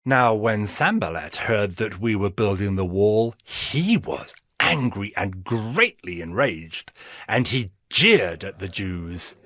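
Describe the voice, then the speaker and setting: A man's voice: lowish voice, sing-song, slow pace, fairly bright tone, no room sound, clean studio sound. A man narrating scripture from a script in an earnest, declamatory dramatic style. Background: background music.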